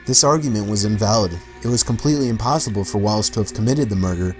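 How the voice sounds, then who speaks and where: A man's voice: fairly low pitch, fast, a little mellow, soft background music. A man delivering scripted documentary-style narration about real mysteries. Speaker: video narrator.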